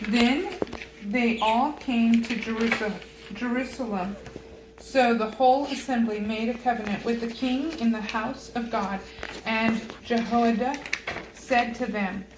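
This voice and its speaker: A woman reading scripture aloud in a halting manner, stumbling over unfamiliar names. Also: fairly high pitch. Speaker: Bible reader. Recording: roomy echo with loud background noise.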